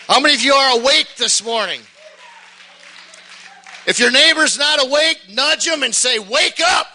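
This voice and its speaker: A middle-aged man preaching a sermon, folksy and joking at first, then building to shouted fervor. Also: high voice.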